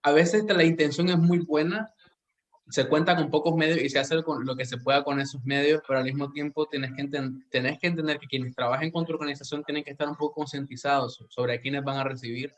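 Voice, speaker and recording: Man, central american spanish accent, podcast host, earnest, reflective, mid-pitched voice. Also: a bit bright. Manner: earnest, reflective, frequent restarts. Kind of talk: casual podcast conversation.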